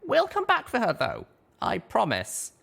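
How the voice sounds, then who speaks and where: A man's voice: sits high, huge pitch swings, measured pace, gravelly, sharp tone, pitched above the performer's own voice, heavily acted. A man voicing a young, earnest mare pony heroine, firm yet girlish, in an audiobook. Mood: firm, insistent. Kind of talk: audiobook character acting.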